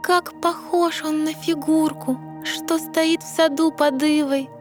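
A woman's voice: high-pitched, fairly even intonation. A woman playing a young lovestruck mermaid princess in a verse audio fairy tale, tender and wistful. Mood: tender longing. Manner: slow, dreamy verse delivery. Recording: music under the voice.

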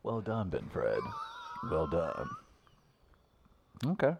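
Deep-voiced man bantering on a podcast. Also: slow, gravelly, background noise. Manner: animated, breaks into loud laughter. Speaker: podcast co-host. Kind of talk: casual banter.

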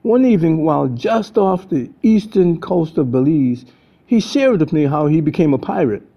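A man's voice: on the high side, animated, normal speed. An older man reading an adventure story aloud as a storyteller, in dramatic scripted narration. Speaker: storyteller reading their own book. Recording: dull, lo-fi sound.

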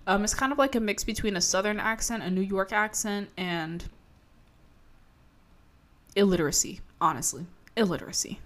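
A woman's voice: medium pitch, moderate pace, long pauses, fairly bright tone. A young woman from Maryland with a slight Baltimore accent, ranting in a sarcastic, profane, emphatic comedy monologue. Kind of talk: comedic rant to camera.

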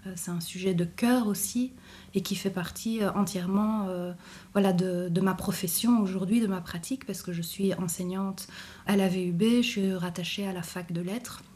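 Husky-voiced woman. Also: medium pitch, steady tone, darkish tone. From Brussels, Belgium. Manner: thoughtful, hesitant, many pauses and fillers. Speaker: university French teacher. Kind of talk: reflective interview testimony.

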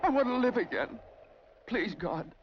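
A man's voice: very high-pitched, very animated, a little slow, highs cut off, slight background noise, full character voice. An actor playing a desperate man who begs to live again, heard in an old film clip with music behind him. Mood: pleading.

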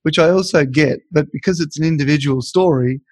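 A man's voice: fairly high pitch, brisk, a little mellow, slightly rough, no room sound. A man co-hosting a podcast, chatting lightly and a little wryly. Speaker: podcast co-host. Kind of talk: casual podcast chat.

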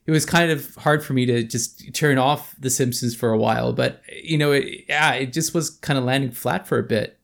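A man's voice: mid-range voice, normal speed, gravelly, few pauses, a bit bright. A man in his thirties co-hosting a podcast, talking in a thoughtful, hesitant way with many fillers. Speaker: podcast co-host. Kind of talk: casual chat.

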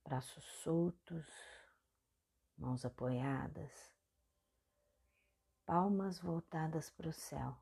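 Low, husky, creaky Brazilian woman guiding meditation. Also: drawn-out words, long pauses. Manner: soft, breathy, soothing delivery with short phrases. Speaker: guided meditation podcast host. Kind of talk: guided meditation narration.

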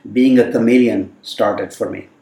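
A man whose first language is Hindi, telling stories from his childhood abroad in a relaxed, thoughtful way with frequent fillers. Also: lowish voice, unhurried. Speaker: guest. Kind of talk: reflective storytelling in conversation. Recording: roomy sound from a distant mic.

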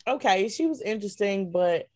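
A woman's voice: medium pitch, expressive, normal speed, warm tone. A woman answering casually as a cast member in an interview. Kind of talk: casual chat.

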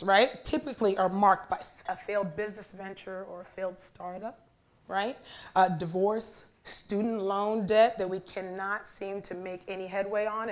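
Raspy young woman preaching in church. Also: medium pitch. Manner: chatty and warm with the congregation, asking for responses. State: hoarse from a weekend of talking. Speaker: guest preacher. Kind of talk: sermon with prayer. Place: church sanctuary.

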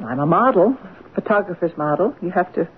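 A woman plays a young wife who is anxious and pleading, speaking in halting, plaintive phrases. It is vintage radio drama, heard through a narrow, tinny broadcast with faint hiss. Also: on the low side, animated, lightly acted. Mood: subdued, worried. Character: distraught, pleading young wife, pretty and naive. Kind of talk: old-time radio drama acting. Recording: tinny, hissy old radio recording.

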